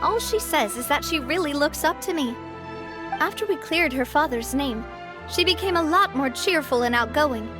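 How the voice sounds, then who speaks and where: A woman's voice: high voice, animated, moderate pace, music in the background. An actress playing a confident, poised young woman who leads a syndicate in a video game. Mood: warm, reflective. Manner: poised, assertive. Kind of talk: video game character acting.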